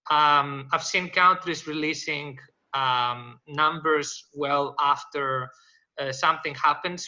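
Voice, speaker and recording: Man, bright lo-fi call audio. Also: fairly high pitch. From Greece. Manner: halting, many fillers and restarts. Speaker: data scientist panelist. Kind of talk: panel discussion, unscripted explanation.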